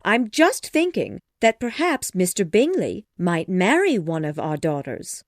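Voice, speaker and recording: Storybook role, played by a woman: nagging middle-aged mother, flustered and dramatic, voice swooping high, recorded dry. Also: very animated, measured pace, dry recording. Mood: coaxing, exasperated. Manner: exclamatory, impatient, dramatic. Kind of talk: storybook dialogue acting.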